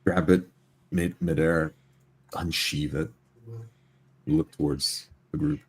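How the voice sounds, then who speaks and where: A man's voice: extremely low pitch, fairly even intonation, takes it slowly, breathy edge. A man playing a tabletop game, tersely describing the actions of his character.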